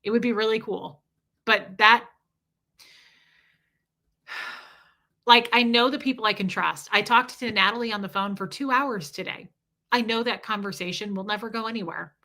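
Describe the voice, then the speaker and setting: A woman's voice: mid-range voice, moderate pace, long pauses, sharp tone. A woman in her forties hosting a casual livestream, reading viewer super chats and talking candidly, with frequent swearing. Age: 40s. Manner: candid and profane, reading viewer comments aloud. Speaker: livestream host.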